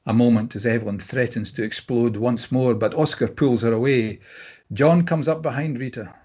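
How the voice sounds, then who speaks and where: Male narrator, raspy mid-range voice, reading screenplay action lines. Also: medium pitch, moderate pace, a little mellow, muffled recording. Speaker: stage-direction reader. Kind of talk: scripted narration at a table read.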